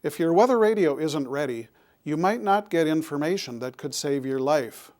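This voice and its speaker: Higher-pitched man presenting safety information. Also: animated, moderate pace, a little mellow. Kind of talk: scripted public safety narration.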